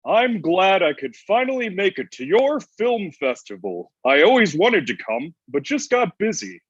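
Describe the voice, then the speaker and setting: A man playing a laid-back, slangy, gruff Santa Claus in a comedy table read, heard over online call audio. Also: high-pitched, sing-song, a little slow, lo-fi sound, heavily acted. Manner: casual slangy delivery.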